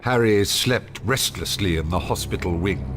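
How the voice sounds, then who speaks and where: A British man reading scripted storybook narration for a fantasy game in a measured, dramatic manner, as its narrator. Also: low-pitched, very noisy, close to an everyday voice. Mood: dramatic storytelling. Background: music.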